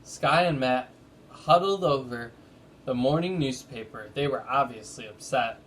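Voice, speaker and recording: A man's voice: medium pitch, a little slow, a bit bright, poor audio. A man who talks casually and reads a children's story aloud like a teacher. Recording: noticeable background noise.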